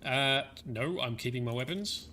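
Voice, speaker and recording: A man's voice: mid-range voice, normal speed. An Australian man giving casual, sweary, jokey live commentary as he plays a video game. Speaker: livestreaming gamer. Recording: noisy stream with game sound. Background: video game audio.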